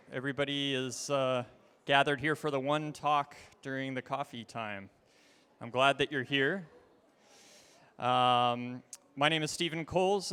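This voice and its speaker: A man hosting a conference, introducing the next speaker with relaxed pauses and fillers. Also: on the high side, smooth. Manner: relaxed, friendly, hesitant fillers. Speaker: conference host. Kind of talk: stage introduction. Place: conference stage. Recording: long hall reverb.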